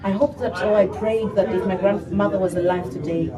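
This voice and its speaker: A woman's voice: medium pitch, steady tone, fairly quick, a loud crowd. A young woman from Uganda with a Ugandan accent, speaking animatedly as a gospel singer being interviewed, often saying "you know". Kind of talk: interview conversation. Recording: distant mic, noisy background.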